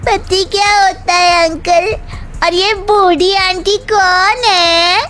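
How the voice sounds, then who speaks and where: A woman's voice: very high-pitched, fairly even intonation, slow, fairly bright tone, heavily acted. A woman voicing a young wife who acts like a whining, demanding little girl. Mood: whiny. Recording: faint music behind.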